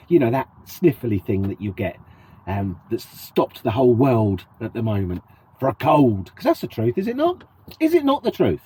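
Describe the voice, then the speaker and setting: A middle-aged man with a British accent talks to camera, sniffly from a cold. His husky, mellow voice swings widely up and down as he throws rhetorical questions at the viewer. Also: mid-range voice. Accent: British English. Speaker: vlogger. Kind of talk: casual to-camera chat.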